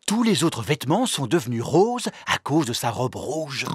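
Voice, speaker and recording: An expressive storyteller narrating a children's cartoon from a script. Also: medium pitch, normal speed, a little hiss or hum, lightly acted.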